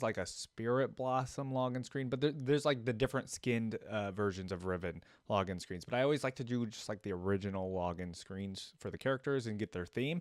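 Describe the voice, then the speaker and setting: A man's voice: mid-range voice, dry recording, studio quality. A man giving casual, informal reaction commentary as a video host, thinking aloud with plenty of filler words. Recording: music playing behind the voice.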